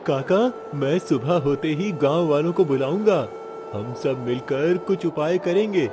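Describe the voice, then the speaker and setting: A man's voice: a little high, unhurried, background noise, voice pushed up, close to an everyday voice. A man acting an earnest, helpful young village man in a cartoon moral story, eager and supportive. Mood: urgent, supportive.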